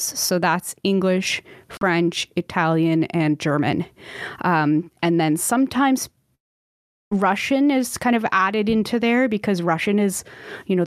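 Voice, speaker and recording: A woman's voice: fairly low pitch, no room sound, studio quality. A woman who sings opera, chatting in an interview and filling her talk with "like" and "um". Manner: chatty, lots of "like" and "um", laughs easily. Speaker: opera singer guest. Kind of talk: casual interview chat.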